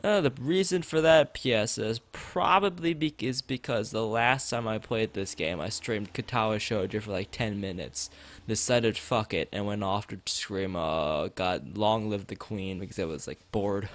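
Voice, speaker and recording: A man's voice: fairly low pitch, normal speed, hardly any pauses. A man live streaming a visual novel, reading its text aloud and drifting into rambling asides to the chat. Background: background game music.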